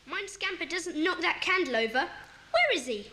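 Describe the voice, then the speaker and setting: A woman playing a bossy, earnest schoolchild who leads a secret club in a children's audio drama, speaking briskly and commandingly. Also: extremely high pitch, animated, bright, forceful tone, full character voice. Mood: brisk, organising. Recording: faint background noise, cassette audio drama.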